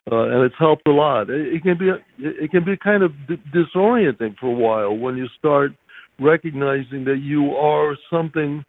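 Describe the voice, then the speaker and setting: An older man answering as an interview guest in a reflective, halting way with stammered starts, over a phone-like line. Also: on the high side, no room sound.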